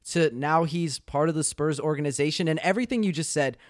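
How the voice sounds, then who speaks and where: Young male sports podcast co-host, slightly high pitch, dry mic, chatty analyst. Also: brisk, no echo. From Texas. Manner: animated, analytical, many fillers. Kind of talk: casual basketball analysis chat.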